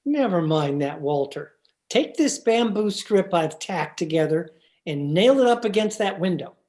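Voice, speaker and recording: A woman's voice: fairly low pitch, expressive, unhurried, darkish tone. A woman playing an earnest, mild-mannered teacher in an old-time radio comedy. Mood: businesslike.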